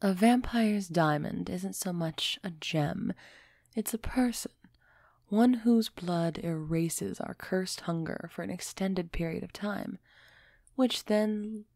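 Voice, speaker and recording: A woman playing a refined, centuries-old female vampire in an intimate audio roleplay, gently imperious and softly teasing as she speaks straight to the listener. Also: medium pitch, no room sound, clean studio sound. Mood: earnest, explaining. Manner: slow, measured, softly teasing, addressing listener directly.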